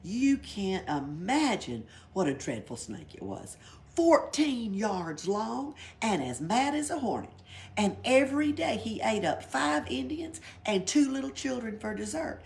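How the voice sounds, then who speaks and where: A woman's voice: medium pitch, sing-song, a little slow, voice pushed up. A woman reading a storybook, voicing a boisterous, cheeky young girl who tells tall tales in dramatic exclamations. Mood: boastful, excited. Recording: background noise, library read-aloud.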